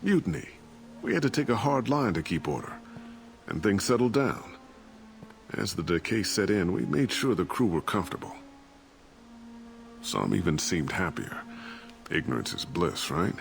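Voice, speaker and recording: Deep-voiced disgraced captain, self-justifying. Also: male voice, sing-song, normal speed, creaky, pauses often, full character voice. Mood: defensive. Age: middle-aged. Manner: defensive, rationalizing. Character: disgraced, self-justifying ship captain, evasive aging father. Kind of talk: dramatic video game voice acting. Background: cinematic background music.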